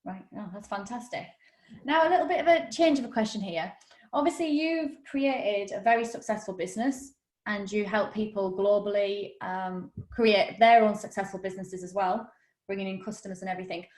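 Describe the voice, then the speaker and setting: A woman's voice: mid-range voice, moderate pace, darkish tone. A woman hosting an interview over a video call, asking questions in an upbeat, encouraging way. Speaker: host, interviewer. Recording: echoey room on a video call.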